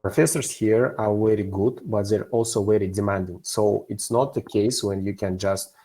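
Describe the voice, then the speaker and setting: Student ambassador, young male from Kazakhstan; lowish, dark, relaxed voice, non-native English, informal testimonial. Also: normal speed, soft background music. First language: not English. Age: young adult.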